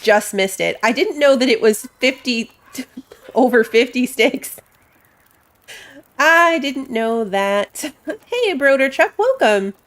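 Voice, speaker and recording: A woman giving casual live commentary as she plays a game, chatting and thinking aloud. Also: mid-range voice. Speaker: game streamer.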